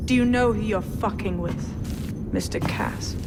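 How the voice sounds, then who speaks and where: An actress playing a coldly zealous terrorist mastermind in a video game, self-righteous and taunting. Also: female voice, mid-range voice, wildly swooping pitch, unhurried, very noisy. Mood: cold, demanding. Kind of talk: cinematic video game acting.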